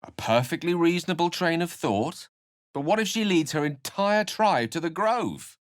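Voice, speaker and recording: An actor playing an erudite, courteous, wry wizard in a video game, speaking articulately with a theatrical, literary turn of phrase. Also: male voice, fairly high pitch, expressive, moderate pace, dry recording, clean studio sound, natural voice. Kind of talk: video game voice acting, dialogue and narration.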